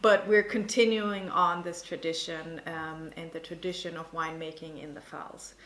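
A woman in her thirties being interviewed as a winemaker, explaining informally and pausing to think as she goes. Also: sits low, flat delivery, fairly bright tone. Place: indoor tasting room with wooden table. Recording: roomy, echoing indoor sound.

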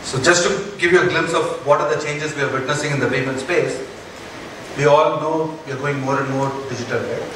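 A man in his forties with an Indian English accent, giving an earnest conference keynote on a large stage and often checking in with the audience with a quick "right?". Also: fairly high pitch, fairly even intonation, noisy background. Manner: earnest, rhetorical questions, frequent "right?" tags. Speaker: keynote speaker. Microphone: headset mic. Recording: echoey hall, heard from far off.

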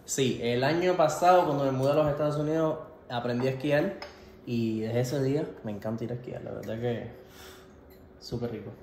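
A man's voice: mid-range voice, a little fast. Male vlogger, twenties, Cuban accent, informal and playful, recorded in an echoey home kitchen. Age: 20s. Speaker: cooking vlogger. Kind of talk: casual question-and-answer vlog. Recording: echoey home kitchen, faint background noise.

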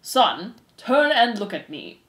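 A woman voicing a stern old male head mouse who speaks slowly and formally, as in a storybook. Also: a little high, very animated, a bit bright, echoey room, heavily acted, low-quality recording. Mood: stern, solemn. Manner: slow, formal, commanding delivery.

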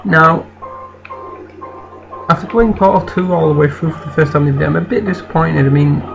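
A man from Britain with a croaky voice from an infection, hosting a let's play in casual, rambling commentary. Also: a little high, brisk, highs cut off, music in the background. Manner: rambling, self-correcting.

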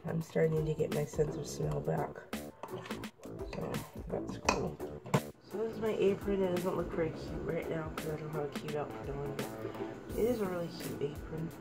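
Woman in her thirties, on the low side with some fry, a little hoarse from a cold, chatting while she cooks on a kitchen-counter camera. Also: sing-song, normal speed, over loud music. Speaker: vlogger. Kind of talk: casual home cooking vlog. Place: small home kitchen.

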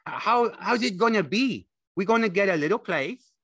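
Casting: childlike, simple-minded big man for audiobook; eager drawl, very high for a man, forceful. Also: moderate pace, slightly rough, no room sound, heavily acted. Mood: eager, gleeful. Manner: pleading, excitable, simple drawl. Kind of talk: audiobook character acting.

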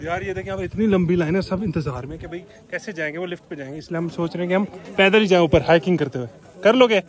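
High-voiced young man vlogging on a hike. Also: quick pace. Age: young adult. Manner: excitable, joking, breathless from hiking. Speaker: travel vlogger. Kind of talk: casual travel vlog. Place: outdoors on a mountain trail. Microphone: handheld phone camera at arm's length.

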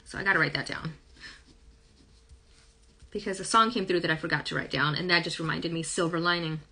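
A woman in her thirties with a hoarse, croaky voice, chatting casually through a live-stream reading in a small wood-paneled room, recorded on a phone. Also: fairly low pitch, fairly even intonation, brisk, pushed and bright. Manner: chatty, rambling, hums song lyrics. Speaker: spiritual reader. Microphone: phone camera at arm's length.

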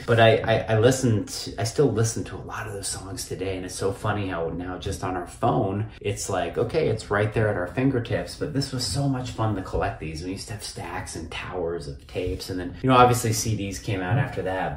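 An American man in his fifties chatting casually and nostalgically to camera in a rambling, conversational way, recorded in a home office. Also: deep voice. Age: 50s. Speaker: vlogger. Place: small home office, indoors. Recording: echoey room, distant mic, noisy.

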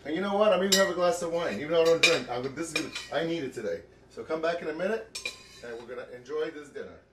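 A man's voice: a little high, expressive, fast talker, very noisy. A middle-aged man hosting a cooking show in a home kitchen, chatty and joking as he demonstrates a recipe.

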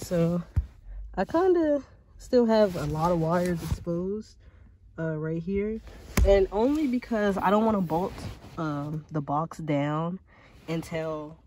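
Young adult American woman, casual DIY vlogger, informal think-aloud delivery, clearly noisy handheld phone sound. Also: fairly low pitch, measured pace, warm tone. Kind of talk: casual DIY chat to camera. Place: in and beside a parked car.

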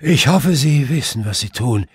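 A man's voice: mid-range voice, animated, moderate pace, breathy edge, dry recording, studio quality, voice pushed up. A man voicing a grumpy, sleepy police inspector in a growling, sardonic audiobook style. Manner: grumbling, sardonic.

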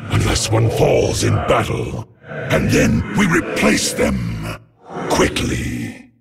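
An actor playing a stern, devoted alien shadow warrior in a video game, gravelly and solemn, speaking in formal proclamations. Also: male voice, exaggerated voice. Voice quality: gravelly, very deep. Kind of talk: video game unit voice lines. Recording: music under the voice.

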